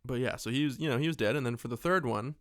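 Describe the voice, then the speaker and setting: A man's voice: mid-range voice, rapid-fire, fairly bright tone, no echo, clean studio sound. A man co-hosting a casual movie podcast, telling things in a rambling, joking way with imagined bits of dialogue. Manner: joking, sarcastic asides. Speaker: podcast co-host.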